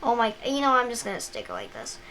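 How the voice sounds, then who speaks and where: A woman's voice: fairly high pitch, wildly swooping pitch, fast talker. A young woman with a scratchy voice casually walking through a video game, rambling with lots of "like" and restarts. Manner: rambling, filler-heavy, frequent restarts. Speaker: gameplay guide host. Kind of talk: casual gameplay walkthrough. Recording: noisy home recording.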